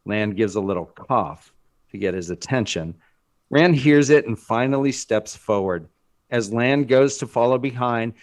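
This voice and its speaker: A man's voice: medium pitch, a little mellow. A man reading scripted dramatic narration as the narrator of a screenplay.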